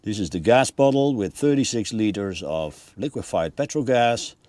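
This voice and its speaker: A man's voice: fairly low pitch, expressive, normal speed, a little mellow. A man presenting a narrated tour of a motorhome as a vlogger.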